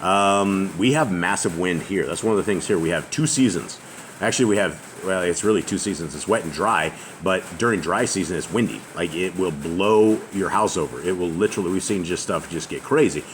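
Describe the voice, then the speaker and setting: Host for home bible study: very deep, booming man reading aloud, faint outdoor noise behind. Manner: loud booming voice, reads aloud with pauses. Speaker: host leading a family scripture reading. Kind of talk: casual group Bible study. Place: house open to the outside, noisy roof. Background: faint outdoor ambience.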